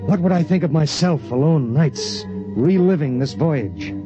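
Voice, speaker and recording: A man's voice: on the high side, animated, measured pace. An American actor playing a grave, haunted spaceship pilot who narrates his own story in terse, brooding style in a 1950s radio drama. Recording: muffled old radio recording with background music.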